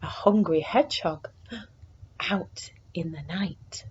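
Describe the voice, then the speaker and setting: A woman reading a picture book aloud to young children in an expressive way, moving between hushed suspense and excited exclamation. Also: fairly low pitch, slow pace, highs cut off, a little hiss or hum.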